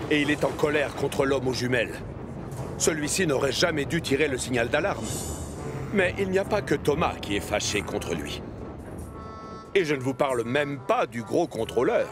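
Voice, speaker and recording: A man narrating a scripted children's cartoon story as an expressive storyteller. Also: medium pitch, normal speed, over music.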